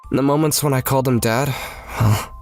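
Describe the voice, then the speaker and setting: A man's voice: mid-range voice, steady tone, moderate pace, faint music behind, close to an everyday voice. A man voicing an earnest, protective teenage brother who narrates his own story in a manga dub. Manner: earnest, protective, self-doubting first-person narration. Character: earnest teenage high school big brother. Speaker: voice actor in a fan manga dub.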